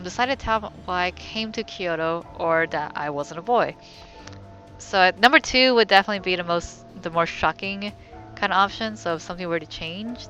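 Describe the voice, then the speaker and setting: A woman's voice: on the low side, pushed and bright, lo-fi sound. A woman giving casual let's play commentary, rambling and thinking aloud in a wry way. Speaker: gamer, let's play host.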